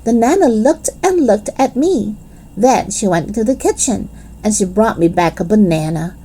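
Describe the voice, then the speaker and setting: A woman reading a children's storybook aloud in expressive scripted narration. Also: mid-range voice, normal speed, breathy edge. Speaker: children's book reader.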